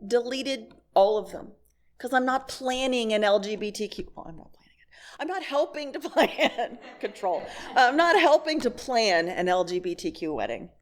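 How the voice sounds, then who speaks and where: A woman's voice: on the high side, lively intonation, normal speed. A middle-aged woman giving a candid, wry personal talk to a congregation, telling stories and acting out bits of dialogue. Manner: laughs as she talks. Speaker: guest speaker at a church service.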